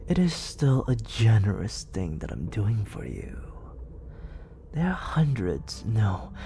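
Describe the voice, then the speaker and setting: A man voicing a smooth, manipulative villain in an ASMR roleplay. He speaks close to the mic in a slow, condescending tone that often sinks into whispers. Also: fairly low pitch, warm tone, slight background noise, heavily acted. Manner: slow, purring delivery that often drops into whispers; condescending and coaxing. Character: smooth, manipulative male villain, soft-spoken and controlling. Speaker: voice actor. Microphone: close studio condenser mic.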